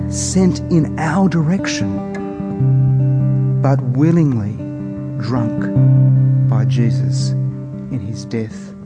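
A man preaching a sermon in a measured, earnest manner with weighty pauses. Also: a little high. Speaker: preacher introducing scripture. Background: soft plucked guitar music.

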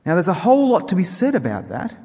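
Man, slightly high-voiced preacher, measured and explanatory, recorded in a reverberant church hall. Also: huge pitch swings, vocal fry, dark tone. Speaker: preacher introducing a Bible reading. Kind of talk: informal sermon introduction to a congregation. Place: church hall before a congregation. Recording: echoey hall with long reverb.

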